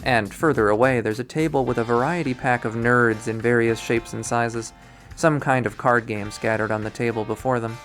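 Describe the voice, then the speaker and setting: A man's voice: mid-range voice, fairly even intonation, normal speed, fairly bright tone. A man reading a story aloud as scripted narration, with a dramatic, wry delivery. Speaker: visual novel readthrough player and narrator. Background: background music.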